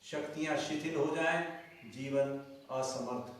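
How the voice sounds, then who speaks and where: A man leads a guided meditation in short, commanding, repeated instructions. He speaks slowly at a medium pitch. His voice comes from off the mic in a heavily reverberant space, with clear background noise. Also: fairly even intonation. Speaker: yoga and meditation teacher. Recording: very echoey, distant mic, noisy background.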